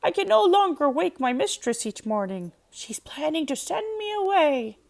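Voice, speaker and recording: A woman voicing a very old, sad but excitable male rooster in a children's storybook. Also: sits high, normal speed, gravelly, pitched above the performer's own voice, exaggerated voice. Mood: sad, fretful.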